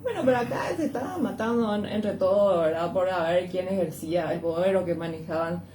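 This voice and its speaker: A woman's voice: lowish voice, animated, a little fast, far from the mic. A woman with a Paraguayan accent hosting a conversational radio interview, emphatic and prone to repeating words. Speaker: interviewer, show host. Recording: echoey room, noisy background.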